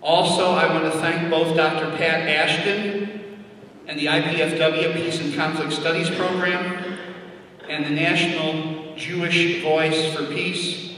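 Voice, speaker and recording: A man hosting an event, giving a formal, earnest introduction of guest speakers with deliberate phrasing. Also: on the high side, flat delivery, pushed and bright. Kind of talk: formal public introduction speech. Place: large reverberant indoor venue. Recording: echoey hall from far off, faint noise.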